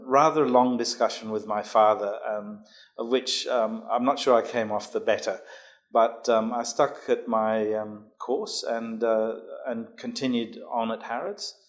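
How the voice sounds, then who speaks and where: A man in his sixties from Jamaica, a podcast guest speaking in a measured way, often pausing with ums and uhs. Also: fairly low pitch. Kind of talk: podcast interview, recounting his career.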